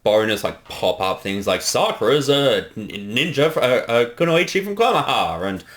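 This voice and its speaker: A man's voice: mid-range voice, big pitch swings, moderate pace, fairly bright tone. A man giving a casual director's commentary, enthusiastic and sweary, often hesitating as he talks. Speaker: host and director of a fan parody series.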